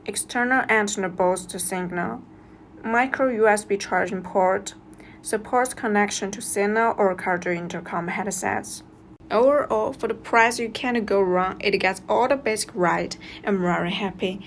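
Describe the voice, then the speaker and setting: Woman, product reviewer, scripted narration, mid-range pitch, instructional delivery, slight background noise. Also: normal speed. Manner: reading step-by-step instructions.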